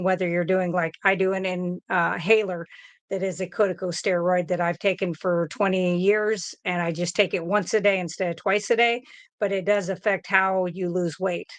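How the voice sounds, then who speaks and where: A woman's voice: medium pitch, dry recording. A woman guest with a medical background giving earnest, conversational advice on a live panel. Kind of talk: casual panel chat.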